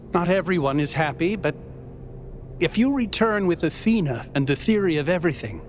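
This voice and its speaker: Man playing a higher-voiced idealistic robot. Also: lightly acted. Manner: earnest, heartfelt. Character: hopeful, idealistic male robot citizen, sincere friend.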